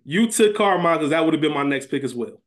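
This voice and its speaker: A man's voice: a little high, expressive, fast. A man co-hosting a podcast, chatting casually in colloquial, slangy language. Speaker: podcast co-host. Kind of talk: casual chat.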